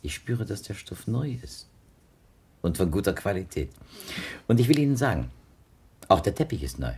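An actor playing a courteous, observant blind man who flatters his hosts ceremoniously in a radio drama. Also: lowish voice, moderate pace, slightly rough, heavily acted. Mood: politely confiding. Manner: ceremonious, polite, savoring his words. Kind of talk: German radio drama acting.

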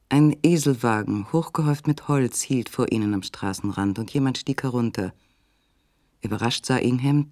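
A man narrating a radio play in a sober, measured, literary style. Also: medium pitch, darkish tone, no echo, clean studio sound.

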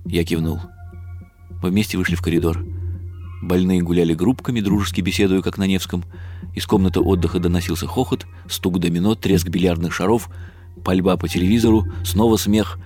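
A man reading a first-person literary story as a radio play, in a measured, wry and bitter tone. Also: deep voice, steady tone, warm tone, slightly rough, faint music behind.

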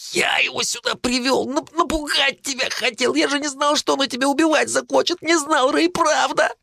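A man voicing a cowardly young thug who stammers and pleads in a high, strained voice. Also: steady tone, moderate pace, vocal fry, pushed and bright, no echo, clean studio sound, heavily acted. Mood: panicked.

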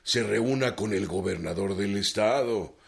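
A man's voice: lowish voice, measured pace, vocal fry. An actor playing an earnest, deferential village spokesman in a radio drama.

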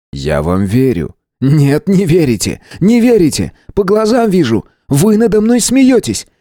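A man reading aloud, voicing a frightened, near-hysterical male Earthman who talks shrill and pleading. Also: dark tone, no room sound, studio quality. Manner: shrill, pleading, rising to squeals.

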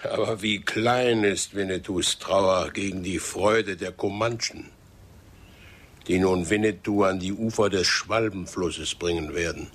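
An actor playing a gloating, triumphant Comanche chief in a radio drama, with a declamatory, taunting delivery. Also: male voice, sits low, a little slow, husky.

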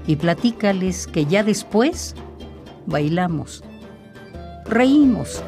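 A woman narrating a poetic scripted piece in a deliberate, insistent, declamatory way. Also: lowish voice. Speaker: narrator of a radio capsule. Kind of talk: poetic scripted solidarity narration over music. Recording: guitar music behind the voice. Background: guitar music.

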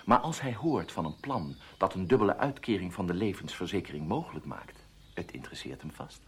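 A man acting a menacing, scheming ex-lover in a Dutch radio play, taunting and mock-polite in a deliberate way. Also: low voice, animated, a little hiss or hum. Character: menacing scheming male ex-lover, smooth blackmailer.

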